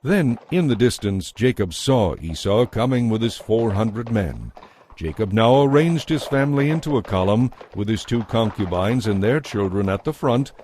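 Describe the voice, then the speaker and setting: A man narrating a dramatized audio Bible in a measured, scripted storytelling style. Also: lowish voice, a little mellow, slight background noise.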